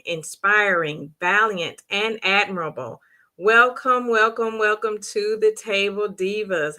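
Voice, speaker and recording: Hoarse, bubbly woman live-streaming. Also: medium pitch, bright, forceful tone. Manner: bubbly, repetitive greetings. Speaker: livestream host. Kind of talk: live-stream chat greeting viewers.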